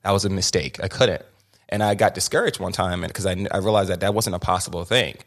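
A man's voice: sits low, fast. A young man hosting a podcast, telling his story in a candid, emphatic way and often restarting and repeating words. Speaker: podcast host.

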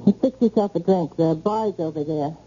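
A woman's voice: lowish voice, normal speed, warm tone, lightly acted. An actress playing a teasing, mercenary call girl with an American accent and slangy, drawling endearments in an old-time radio drama. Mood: coy. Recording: muffled, noisy old radio recording.

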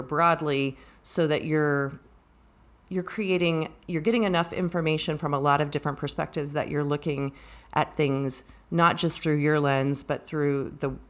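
A middle-aged woman with a distinctly low voice talks through ideas in a measured way. She sounds conversational and reflective, like a podcast co-host in a book discussion, often adding "you know" as she thinks.

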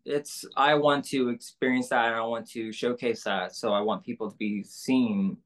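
A woman singer with a low speaking voice, talking candidly and loosely with many fillers in an interview. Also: steady tone, moderate pace. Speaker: singer-songwriter guest. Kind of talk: casual interview conversation.

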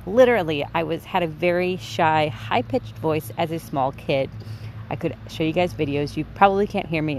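20s woman with a quiet voice, lowish, chatting on her podcast, a little hiss behind. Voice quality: soft, quiet voice. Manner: chatty and candid, with light swearing. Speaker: podcast host. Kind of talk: casual solo podcast monologue.

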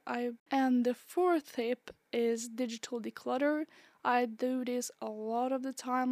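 A woman with a stuffy, congested nose hosts a solo podcast, her fairly high voice staying flat and even. She rambles casually with fillers and restarts. The sound is dry and close. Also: no echo. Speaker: podcast host.

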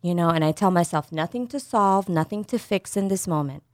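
Low-voiced calm woman co-host. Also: brisk, dry recording, studio quality. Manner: calm, affirming, frequent agreement. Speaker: co-host, therapist. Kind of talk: casual chat.

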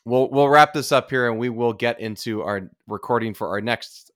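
A man's voice: mid-range voice, moderate pace, a bit bright, no room sound, clean studio sound. A man hosting a podcast in a casual, conversational style. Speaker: podcast host. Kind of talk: casual chat.

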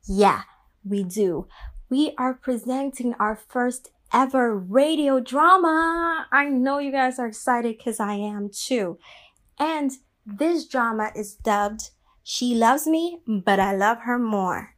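A woman's voice: fairly high pitch, slow, a bit bright. A young woman chatting informally and peppily to her listeners as a podcast host. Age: young adult.

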